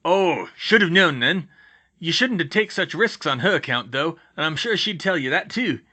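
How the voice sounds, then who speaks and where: Storybook gentle giant, older male, gruff and countrified, forceful delivery, plain-hearted performed by a man. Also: on the high side, lively intonation, moderate pace, voice pushed up. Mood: grumbling concern. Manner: rustic, ungrammatical, dropped words. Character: big gruff, kindly giant-sized older man, rustic, protective.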